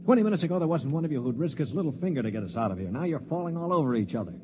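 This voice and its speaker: An actor playing a cynical, commanding space prisoner who also narrates, in a 1950s radio drama. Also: male voice, fairly high pitch, animated, racing, noisy background, lightly acted. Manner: brusque, commanding. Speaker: narrator and lead. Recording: dull old radio broadcast.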